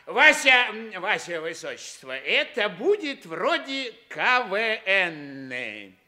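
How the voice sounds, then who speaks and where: A man playing a silly, cocky servant clown in a comic theatrical radio play, halting and exclaiming. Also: high-pitched, very animated, bright, forceful tone, heavily acted.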